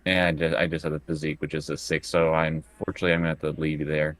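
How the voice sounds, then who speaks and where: Low-voiced man playing a tabletop game. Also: little pitch movement, very fast, dry recording. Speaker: player in a tabletop roleplaying game. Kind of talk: casual game chat, thinking aloud.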